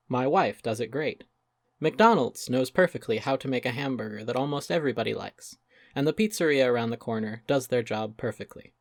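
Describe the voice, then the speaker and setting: A man's voice: mid-range voice, dry recording, studio quality, lightly acted. Man reading as a pompous, portentous avant-garde chef. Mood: lofty, declaring. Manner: pompous, portentous, with dramatic pauses. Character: pompous, self-serious male avant-garde restaurant chef. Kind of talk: dramatic reading of an open letter.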